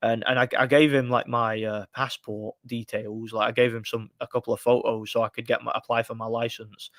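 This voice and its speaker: A man's voice: medium pitch, level tone, a little fast, fairly bright tone, no room sound. Male, 30s, stream host; blunt, frustrated, lots of restarts, very dry mic. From United Kingdom. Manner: rambling, frequent restarts and fillers. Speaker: livestream host. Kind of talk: casual live-stream boxing chat.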